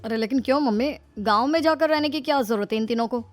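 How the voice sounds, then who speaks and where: High-pitched, grumbling young son-in-law, voiced by a woman. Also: fast talker, no room sound, soft background music, close to an everyday voice. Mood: questioning. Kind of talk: acted dialogue in a Hindi comedy story cartoon.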